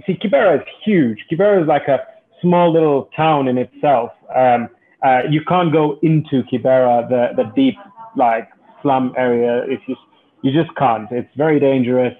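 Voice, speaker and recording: A man from Kenya giving earnest, halting, conversational interview answers as an entrepreneur and guest. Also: mid-range voice. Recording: thin phone-like call audio.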